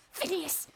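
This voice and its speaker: An actress playing a fussy, excitable landlady in a period radio drama, speaking in a shrill, fretful way. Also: noisy background, heavily acted. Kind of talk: 1930s radio drama acting.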